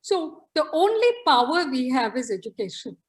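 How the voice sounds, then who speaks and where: Earnest high-voiced woman. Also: sing-song, normal speed. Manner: earnest, with many restarts and "you know". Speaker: author interviewee. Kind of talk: reflective interview talk.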